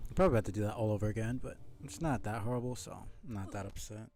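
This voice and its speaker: A man giving casual live gameplay commentary as a streamer, muttering and swearing in a low-key way. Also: racing, a little mellow, slight background noise. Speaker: game streamer.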